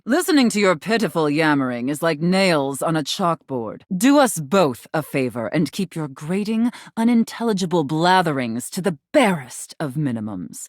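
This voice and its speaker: A woman's voice: low voice, no echo, studio quality. A woman voicing a haughty, domineering mistress character in a video game, imperious and scornful, savoring key words. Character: haughty, domineering female safe with a dominatrix persona.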